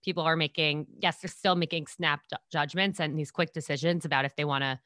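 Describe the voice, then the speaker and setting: A woman's voice: low voice, steady tone, brisk, fairly bright tone, dry recording, clean studio sound. A woman in her twenties from New York, a podcast guest telling stories in casual chat and acting out what people said. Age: 20s. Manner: bubbly storyteller, acts out quotes.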